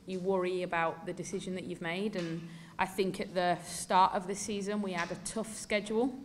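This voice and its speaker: English female coach in her 30s, low-pitched, candid and emphatic at a press desk mic, faint background hiss. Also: little pitch movement, moderate pace. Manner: candid, emphatic, many fillers. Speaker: football coach. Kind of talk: post-match press conference answers. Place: indoor press room before a sponsor backdrop.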